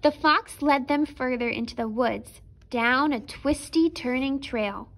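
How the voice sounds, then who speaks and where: A woman's voice: high voice, unhurried, a bit bright. A woman storyteller narrating a children's picture book expressively for preschoolers.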